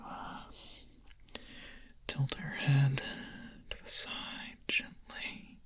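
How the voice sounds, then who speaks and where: A man playing a hungry, nervous, lovestruck young vampire in an ASMR roleplay, speaking in a breathy, husky, hesitant whisper. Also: medium pitch, pushed and bright. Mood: hungry, tender. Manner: hesitant, halting, confessional.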